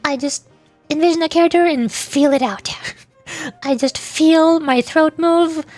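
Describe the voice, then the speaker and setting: A woman livestreamer chatting casually, thinking aloud in a halting, giggly way. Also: high-pitched, a little mellow, no echo, faint music behind. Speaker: streamer, VTuber.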